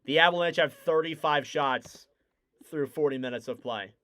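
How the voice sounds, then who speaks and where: Young man, hockey play-by-play, bright crisp voice, going a little hoarse, excitable. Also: on the high side, normal speed. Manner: excitable, rising to shouts on goals. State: losing his voice. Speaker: live-stream hockey commentator. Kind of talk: play-by-play commentary.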